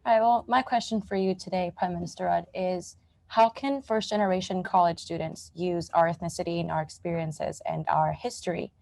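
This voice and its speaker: Teen girl student, mellow and fry-laden, asking politely in a prepared formal register. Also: on the low side, steady tone, brisk, no echo. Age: teens. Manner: polite, respectful. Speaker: student questioner.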